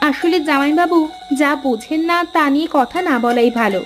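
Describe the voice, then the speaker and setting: A very high-pitched cartoon younger sister, played by a woman, well-off and outspoken. She talks in a pert, chiding way. Faint music is heard behind her. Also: a little fast, lightly acted. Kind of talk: cartoon dialogue acting.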